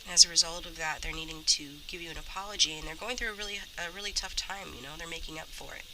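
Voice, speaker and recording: A woman with a stuffy, slightly rough voice from a cold, chatting casually as she gives a tarot reading. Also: deep voice, fast, noisy background. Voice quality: a little rough. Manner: chatty, many fillers and restarts. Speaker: tarot reader.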